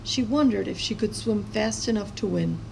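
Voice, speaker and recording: A woman with a creaky voice reading a children's story aloud expressively, as a storyteller. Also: medium pitch, moderate pace, darkish tone, a little hiss or hum, poor audio. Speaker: storybook reader.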